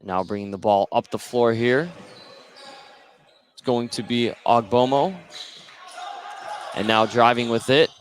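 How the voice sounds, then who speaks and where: A man doing live play-by-play radio commentary of a high school basketball game. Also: measured pace, pauses often. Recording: noisy gym ambience behind the voice.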